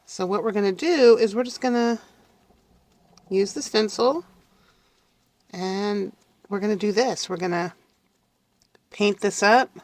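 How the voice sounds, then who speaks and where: Middle-aged woman host with a cold, congested and raspy, chatting and apologizing through a relaxed crafting tutorial. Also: fairly low pitch. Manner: chatty, apologetic, talks to viewers while working. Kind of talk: casual craft tutorial chat.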